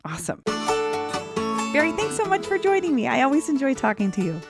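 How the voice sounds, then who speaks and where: A woman's voice: high-pitched, very animated, brisk, music in the background. A woman hosting a podcast in a casual, conversational interview style. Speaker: podcast host. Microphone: remote video call recording.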